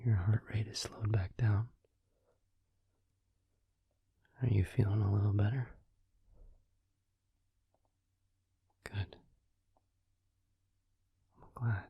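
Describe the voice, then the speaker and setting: Breathy whispering gentle vampire boyfriend, acted by a man. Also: fairly low pitch, fairly even intonation, dry recording, muffled recording, heavily acted. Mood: tender, soothing. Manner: slow, tender, soothing, reassuring. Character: gentle, devoted vampire boyfriend comforting his lover. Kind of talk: intimate whispered ASMR comfort roleplay. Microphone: close microphone, dry.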